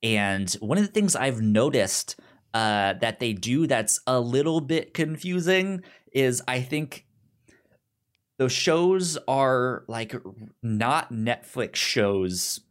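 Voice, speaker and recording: A man chatting casually as a podcast co-host, halting and informal, with a stutter that repeats sounds and syllables. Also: mid-range voice, lively intonation. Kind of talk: casual chat, pitching shows to a co-host.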